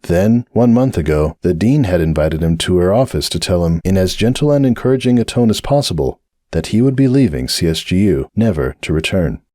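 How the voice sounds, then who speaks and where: A man narrating a dramatic audiobook reading in an expressive storytelling manner. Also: fairly quick, dry recording, studio quality. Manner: expressive storytelling with shifting pitch.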